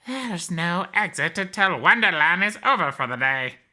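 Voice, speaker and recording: A man puts on a callous bandit guard from a visual novel. He is loud and forceful, pitched a little above plain talk. Every phrase comes out sneering and dismissive. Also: big pitch swings, moderate pace, full character voice. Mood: stern.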